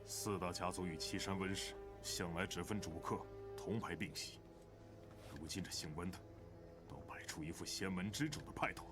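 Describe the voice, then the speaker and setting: Deep-voiced grave clan lord, acted by a man. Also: fairly even intonation, moderate pace, over music, natural voice. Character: grave, measured clan lord in ancient fantasy world. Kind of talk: animated period fantasy drama voice acting.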